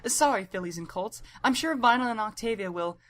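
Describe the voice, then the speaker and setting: A woman's voice: on the high side, animated, brisk, fairly bright tone. A woman voicing a polite, kindly female pony receptionist in an audiobook, with a friendly manner. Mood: apologetic. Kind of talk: audiobook character acting.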